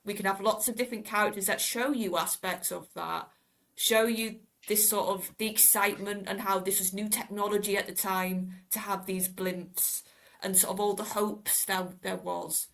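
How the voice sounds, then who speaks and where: A woman's voice: medium pitch, steady tone, normal speed, creaky, a bit bright. A woman in casual fan discussion as a podcast panellist, explaining her ideas in loose, conversational phrases.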